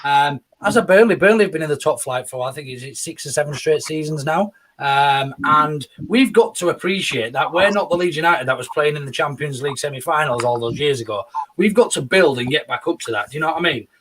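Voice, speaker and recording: A man's voice: a little high, brisk, a bit bright, low-quality recording. A man hosting a casual podcast chat, talking things over and passing questions to his guests. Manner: chatty, hands questions to guests. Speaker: podcast host.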